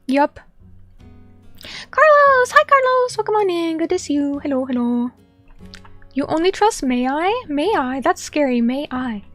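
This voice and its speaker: Young woman, live-stream chat, bubbly, chatty, high-pitched, faint background music. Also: a bit bright. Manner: bubbly, chatty, apologetic, greets viewers by name. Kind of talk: casual live-stream chat, reading comments.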